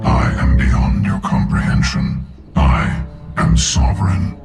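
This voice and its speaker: Booming, gravelly, extremely deep ancient machine. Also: male voice, full character voice. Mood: cold contempt. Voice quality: booming, gravelly, synthetic rumble. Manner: slow, grandiose, condescending. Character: vast ancient sentient machine warship, contemptuous, menacing, godlike. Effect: deep reverberant machine processing. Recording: echoing processed voice under loud ominous music.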